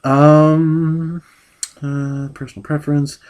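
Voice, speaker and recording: A man's voice: fairly high pitch, little pitch movement, a little mellow. Exasperated man ranting through a review. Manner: halting, full of restarts. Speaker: book reviewer. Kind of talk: critical book review rant.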